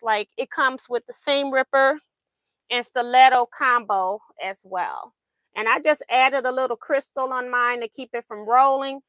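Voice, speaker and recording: Chatty high-voiced woman quilting live. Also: a bit bright, dry recording, low-quality recording. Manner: chatty, laughs often, reads viewer comments aloud. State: voice going in and out. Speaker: quilting livestream host. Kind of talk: casual livestream chat while sewing.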